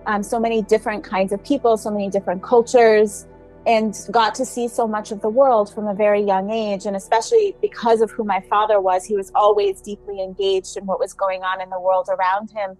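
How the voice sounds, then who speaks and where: Interview guest, female writer born in Tanzania, thoughtful, unhurried storytelling. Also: mid-range voice, darkish tone, soft background music, poor audio. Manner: thoughtful, uses fillers.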